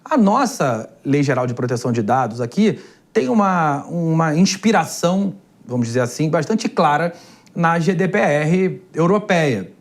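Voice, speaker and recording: A man hosting a Brazilian TV interview program, putting measured, formal questions to his guest. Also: a little high, lively intonation. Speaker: TV program host.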